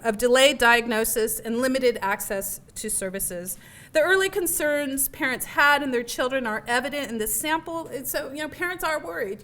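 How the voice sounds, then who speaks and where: A woman researcher giving an academic talk in a lecture room, reading figures off her slides with frequent fillers and self-corrections. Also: on the high side. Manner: says she has a loud voice. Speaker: researcher presenting a study.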